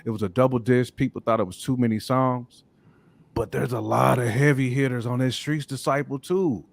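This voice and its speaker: A man's voice: medium pitch, steady tone, normal speed, creaky, no echo. A man chatting casually as a hip hop podcast panelist, slangy and emphatic in the way he makes his points.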